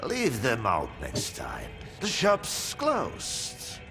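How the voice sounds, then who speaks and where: An eccentric, boozy old-hand scientist for a video game. His delivery is slow and drawling, with pitch that swings dramatically as he rambles in sardonic philosophy. Music plays clearly behind him. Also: wildly swooping pitch, exaggerated voice. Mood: sardonic, rambling. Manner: drawling, rambling, musing, with frequent hums. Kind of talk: theatrical video game dialogue.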